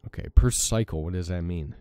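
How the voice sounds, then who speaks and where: A young man with a deep morning voice hosting a let's play, chatting casually with lots of fillers. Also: fairly quick, warm tone, smooth. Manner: rambling, many fillers, self-deprecating. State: morning voice with a crack. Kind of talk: casual gameplay commentary.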